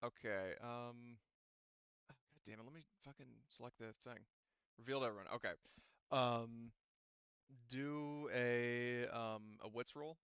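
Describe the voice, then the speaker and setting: Bright, clear-voiced man as game master. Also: medium pitch, measured pace, long pauses, no echo, dull audio. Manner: thinks aloud while narrating dice results. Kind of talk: running an online tabletop roleplaying game, casual.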